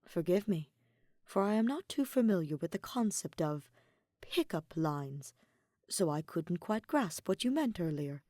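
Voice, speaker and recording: A commanding, unruffled knave of a woman, as a female narrator plays her, with a sultry voice. She speaks formally and in measured phrases, in a storybook romance. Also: big pitch swings, warm tone, no echo, studio quality. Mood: formal, slightly puzzled. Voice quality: deep, sultry.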